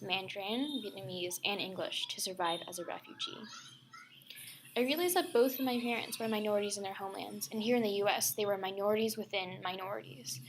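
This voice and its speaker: Soft-spoken young woman, reflective voice-over. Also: mid-range voice, background noise. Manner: soft, reflective, often near a whisper. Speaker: student filmmaker narrating. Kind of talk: personal essay voice-over.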